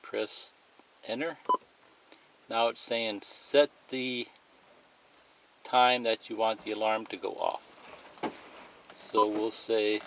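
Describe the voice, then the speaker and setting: A man's voice: on the low side, level tone, slow pace, pauses often, fairly bright tone, slight background noise, low-quality recording. A man giving a plain, methodical, unscripted step-by-step demonstration of a product as a tutorial presenter.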